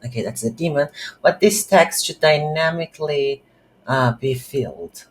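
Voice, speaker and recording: A coding instructor who is a non-native English speaker, giving an unscripted game development tutorial and thinking aloud haltingly while working. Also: medium pitch. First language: not English. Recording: noisy, with keyboard typing. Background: computer keyboard typing.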